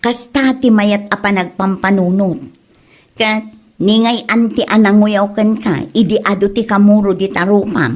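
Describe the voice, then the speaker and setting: Woman acting as scolding village wife, ilocano filipino accent, middle pitch, comedy drama talk. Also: fairly even intonation, a little slow, natural voice. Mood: curious, amused.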